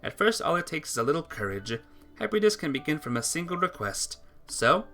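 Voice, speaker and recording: A woman streams a visual novel, moving between reading aloud and casual chat. Her voice is strikingly low yet bright, and it moves around in a lively way. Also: a little fast. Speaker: game streamer. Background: music.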